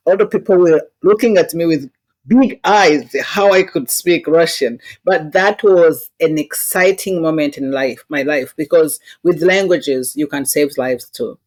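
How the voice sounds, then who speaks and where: A woman's voice: deep voice, measured pace, a little mellow, rough recording. A woman with a Rwandan accent giving conversational interview answers and anecdotes in an earnest, instructive way, with non-native phrasing. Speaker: interview guest, diversity consultant.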